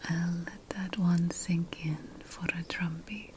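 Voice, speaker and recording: ASMR witch roleplay: woman, unvoiced breathy whisper, very unhurried, playful potion-master air, faint background noise. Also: fairly low pitch, steady tone, a little mellow. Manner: slow, deliberate, pausing between phrases.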